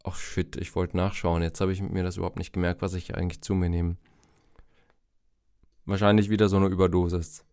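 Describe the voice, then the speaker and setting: A man's voice: deep voice, flat delivery, a little fast, a little mellow, clear, resonant tone, dry recording, clean studio sound. A man in his forties with a cold, chatting casually and rambling as a podcast host, laughing at himself. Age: 40s. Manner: rambling, self-mocking, laughs often. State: has a cold, coughing. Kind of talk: casual chat.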